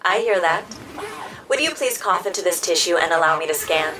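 An actress playing a courteous, helpful community health robot in an audio drama, speaking precisely and formally. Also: female voice, on the low side, expressive, a little fast, heavy background noise, close to an everyday voice. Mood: friendly, helpful. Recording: music under the voice.

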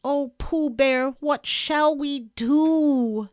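A woman's voice: sits high, drawn-out words, dry recording, pitched above the performer's own voice. A woman voicing an eager, caring young boy who takes charge in a children's storybook, exclaiming earnestly. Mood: dismayed. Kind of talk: children's storybook character reading.

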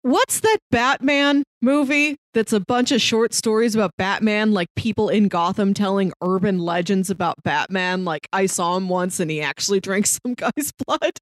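A woman with a mid-pitched voice chats casually as a podcast co-host. The sound is close and dry, with very little room echo, and her delivery is conversational. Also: normal speed, few pauses. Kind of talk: casual film discussion chat.

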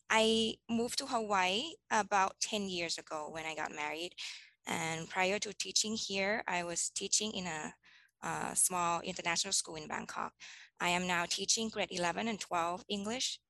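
A woman's voice: on the low side, creaky, pushed and bright, dry recording, rough recording. A woman in her thirties from Thailand, a teacher answering interview questions thoughtfully, with frequent ums and restarts. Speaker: teacher guest.

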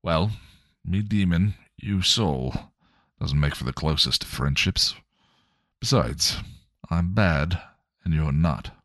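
A crude, cocky male vampire, sneering and slangy, with a very deep voice, as a man reads him out. The sound is close and dry, with no room around it. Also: lively intonation, slow, pauses often, a bit bright, dry recording, heavily acted. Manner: cocky, slangy, rough-edged. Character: coarse sneering male vampire with a demon inside.

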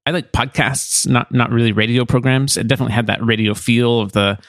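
A man's voice: mid-range voice, fairly even intonation, a little fast, a bit bright, no echo, studio quality. A man co-hosting a podcast in a casual, conversational style. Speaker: podcast co-host.

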